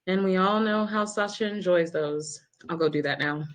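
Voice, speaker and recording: Woman doing a composed hospital nurse, pointed and measured, slightly low voice, table read. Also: natural voice. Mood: guarded. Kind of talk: screenplay table read acting.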